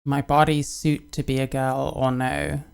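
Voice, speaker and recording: Deadpan British woman with a creaky voice. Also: rumbling low, measured pace. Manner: flat, offhand and deadpan, with short answers. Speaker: streamer. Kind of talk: casual chat reading and answering viewer comments.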